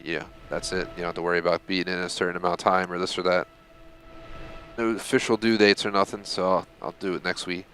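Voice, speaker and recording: Low-voiced young man streaming a game. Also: fairly bright tone. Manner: casual, rambling, swears when frustrated. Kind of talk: live gameplay commentary.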